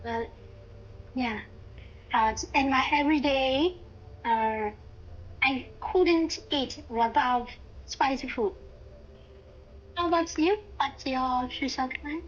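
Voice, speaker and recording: Hesitant high-voiced Thai woman. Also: slow pace, long pauses, muffled recording. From Thailand. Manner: hesitant, searching for words with many fillers. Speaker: guest, university student. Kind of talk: casual language-practice chat.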